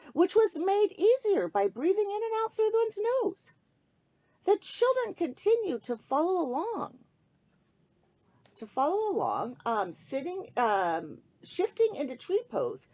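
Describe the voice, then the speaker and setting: A woman reading a rhyming children's story aloud in an expressive, sing-song way, speaking directly to young listeners. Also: high voice.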